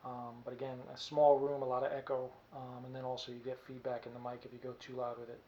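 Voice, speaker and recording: Guy casually walking through his gear, pretty monotone, bit hesitant, muffled small room recording. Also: medium pitch, fast talker, slight background noise. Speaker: costume hobbyist demonstrating gear. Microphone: camera mic from a short distance. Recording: dull camera mic in small room.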